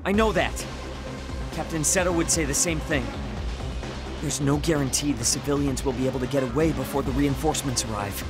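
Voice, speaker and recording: An actor playing a proud, insecure young soldier in an anime video game, curt and defensive. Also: male voice, medium pitch, brisk, close to an everyday voice. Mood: urgent, resolute. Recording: loud music under voice. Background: game soundtrack music.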